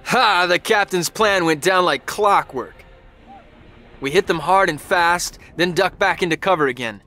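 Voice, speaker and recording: American infantryman, bright forceful voice, slightly high, wry slangy game narration, weary and cocky. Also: a little slow, soft background music. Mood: cocky, satisfied. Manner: colloquial, wry soldier slang. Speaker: soldier narrator. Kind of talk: first-person game cutscene narration.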